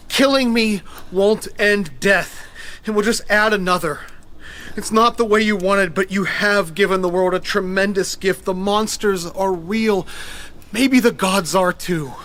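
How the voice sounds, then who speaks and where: High-voiced, earnest frightened man. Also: unhurried, a bit bright. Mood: careful pleading. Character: frightened, awestruck man pleading with a zealot. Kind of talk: naturalistic audio drama acting.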